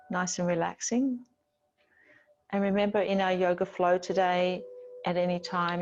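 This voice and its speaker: A woman teaching yoga, giving gentle, soothing, unhurried guided instruction with pauses between phrases. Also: on the low side, lo-fi sound, slight background noise.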